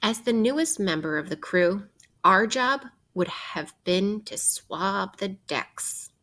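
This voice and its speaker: A woman teacher reading a picture book aloud to children in a deliberate, instructive way, posing questions as she goes. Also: medium pitch, animated, sharp tone.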